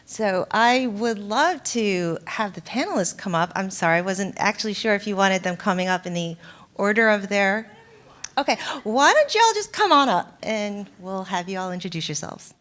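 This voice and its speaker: A woman's voice: medium pitch, animated, moderate pace, fairly bright tone. A woman moderating a live patient panel at an event, chatty and a little apologetic, with plenty of fillers. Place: event venue in front of an audience.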